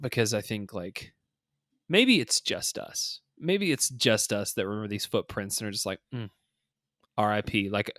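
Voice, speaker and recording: A man's voice: mid-range voice, a bit bright, dry recording. Casual male podcast host, close dry sound. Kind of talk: casual two-person podcast discussion of a mobile game.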